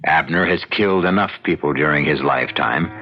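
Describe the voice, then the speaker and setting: A man hosting a radio mystery as a brooding storyteller, deliberate, philosophical and ominous. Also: extremely low pitch. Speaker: host and narrator. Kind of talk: dramatic radio narration. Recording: dull radio recording with faint music.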